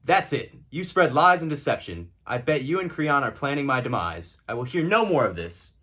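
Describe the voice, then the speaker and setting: A man's voice: medium pitch, big pitch swings, moderate pace, fairly bright tone, breathy edge. A man playing a hot-headed, sarcastic king in a casual amateur puppet show. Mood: indignant.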